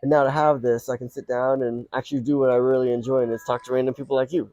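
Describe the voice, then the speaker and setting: Chatty man hosting, mellow voice. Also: mid-range voice, little pitch movement, quick pace, no echo, highs cut off. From Colombia, raised in South Florida. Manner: chatty, rambling, lots of fillers. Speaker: podcast host. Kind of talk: casual chat interview. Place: small booth in an indoor shopping mall.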